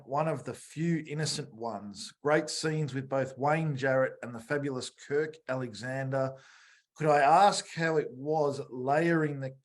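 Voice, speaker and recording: A man co-hosting a casual interview and reading out listener questions. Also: medium pitch. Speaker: co-host, interviewer.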